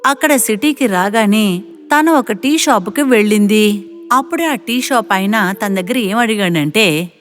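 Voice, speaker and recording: A woman's voice: medium pitch, expressive, unhurried, faint music behind. A woman narrating a scripted moral story.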